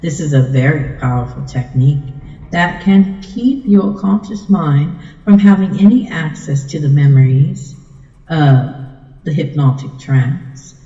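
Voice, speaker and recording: Very dark-voiced hypnotist woman in reverb. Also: low-pitched. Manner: soothing, coaxing, repetitive trance patter. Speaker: hypnosis ASMR performer. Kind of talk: ASMR hypnosis, slow suggestive scripted monologue. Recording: muffled, echoey with long reverb.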